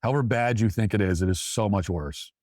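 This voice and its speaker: A man's voice: lowish voice, brisk, darkish tone, no echo, studio quality. A man hosting a talk show, giving emphatic opinion commentary and repeating phrases for stress.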